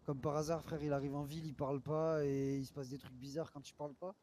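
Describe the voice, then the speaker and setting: Fast-talking man with a mellow voice. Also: a little high, flat delivery, a little hiss or hum. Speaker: online roleplay game player. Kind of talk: casual slangy voice chat in an online game.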